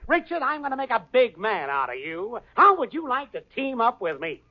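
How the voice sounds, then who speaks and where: An actor playing a brash, fast-talking boyfriend with a salesman's patter in a radio sitcom. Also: very high-pitched, sing-song. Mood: breezy. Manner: breezy, slangy, salesman's patter. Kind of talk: 1940s radio sitcom acting. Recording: lo-fi old radio broadcast with studio audience.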